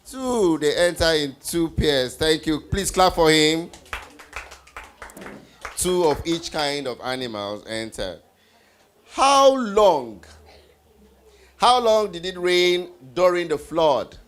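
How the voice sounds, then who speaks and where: Slow-paced quiz leader for children. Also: mid-range voice, very animated. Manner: encouraging, repeats answers back to the group. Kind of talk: live children's Bible trivia quiz. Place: live group event with children before a stage.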